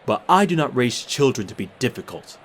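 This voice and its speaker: A man playing a cold, proud clan leader and stern father in a fan audio drama, speaking in a measured, disdainful way. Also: medium pitch, big pitch swings. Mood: cold contempt.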